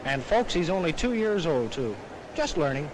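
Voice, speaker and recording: A man's voice: fairly high pitch, very animated, moderate pace. A man giving showman's patter as a 1930s stage demonstrator, recorded on a noisy old film soundtrack.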